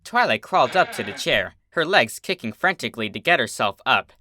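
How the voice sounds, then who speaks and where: Bright, lively male storybook narrator. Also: medium pitch, fairly quick. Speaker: fan fiction narrator. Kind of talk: expressive scripted storybook narration.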